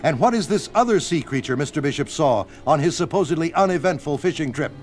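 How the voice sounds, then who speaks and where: Casting: male narrator, portentous delivery, lively pitch, music bed. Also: fast. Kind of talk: scripted dramatic narration.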